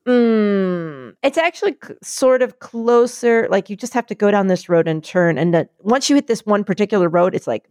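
A woman chatting casually, telling a story and acting out quotes in a higher voice. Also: normal speed, hardly any pauses, no echo, clean studio sound. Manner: animated, acts out quotes in higher voice.

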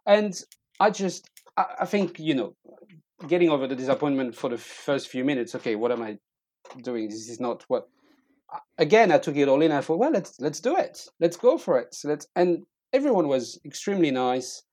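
A middle-aged man with a French accent telling personal stories in casual conversation, haltingly, often repeating words as he searches for them. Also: a little high, lively intonation, a little mellow, no room sound. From eastern France. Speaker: interview guest.

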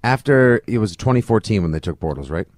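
A man's voice: fairly low pitch, lively intonation, fairly quick, darkish tone, dry recording. A man co-hosting a sports podcast in casual banter, with a wry, sarcastic way of talking.

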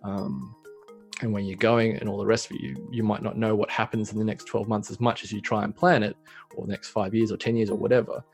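A man's voice: fairly low pitch, flat delivery, fairly quick, vocal fry, soft background music. A man in his thirties, a small business owner, talking reflectively in a casual interview with many fillers. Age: 30s.

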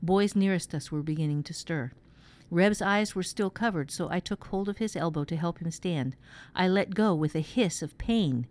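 Storybook first-person boy narrator read by a woman: steady, protective, measured, slightly low female register. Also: close to an everyday voice. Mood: alarmed. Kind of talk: scripted fantasy story reading.

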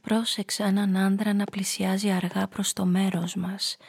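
An actress playing a hard-boiled femme fatale who narrates her downfall in a radio drama, in a measured, confessional way. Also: mid-range voice, level tone, creaky, darkish tone, breathy edge. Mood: dark, haunted.

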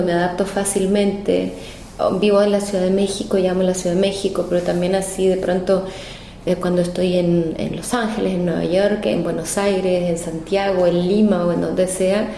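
Chilean woman, kinda low mellow voice, lots of ehs, roomy echo. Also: vocal fry, a little hiss or hum. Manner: hesitant, many fillers and repeats. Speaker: guest singer. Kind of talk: casual interview answers. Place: hotel room. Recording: roomy echo with faint ambience.

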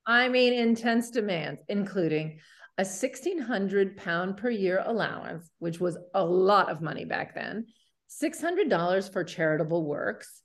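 Upbeat woman podcast host, medium pitch. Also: expressive, unhurried. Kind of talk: upbeat presenting and chat.

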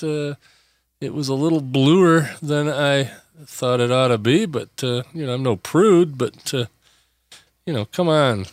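A man hosting a podcast in a casual solo chat, a bit hoarse from a voice that is still coming back, rambling with lots of pauses and restarts. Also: fairly high pitch, dry recording. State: hoarse, recovering from lost voice. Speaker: podcast host.

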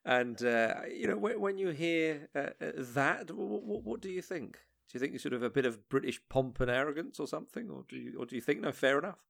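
A man hosting a football podcast, chatting casually in a halting way with many restarts. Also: fairly high pitch, expressive, vocal fry, a bit bright. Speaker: podcast host. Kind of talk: casual chat.

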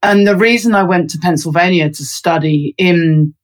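A woman's voice: fairly low pitch, moderate pace. Chatty woman with lots of ums. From London, England. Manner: thoughtful, many fillers. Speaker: interview guest, resilience researcher. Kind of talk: casual interview talk.

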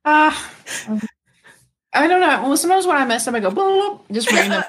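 A woman with a Southern US accent co-hosting a podcast, playful and quick, dropping in silly sounds. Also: high-pitched, sing-song, fairly bright tone. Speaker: podcast co-host. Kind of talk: casual podcast chat.

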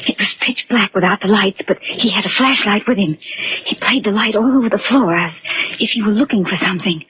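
A woman's voice: mid-range voice, fairly quick, husky, dry recording. An actress playing an earnest, loyal young woman accusing a killer in a 1940s radio mystery, urgent and dramatic. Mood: confiding, urgent. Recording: dull, hissy 1940s radio recording.

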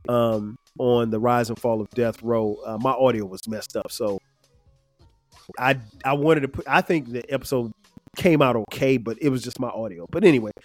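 Man in his 50s, podcast host, a bit nasal and stuffy, easygoing rambling talk, mid-pitched voice, soft music underneath. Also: moderate pace. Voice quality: slightly nasal, stuffed-up from sinus trouble. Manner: relaxed, rambling, frequent fillers and restarts. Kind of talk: casual solo podcast reflection.

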